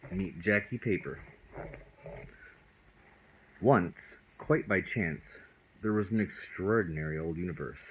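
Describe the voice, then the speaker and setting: A man reading a children's bedtime story as a father, in a deliberate way with the odd stumble. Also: deep voice, slightly rough, narrow, tinny audio.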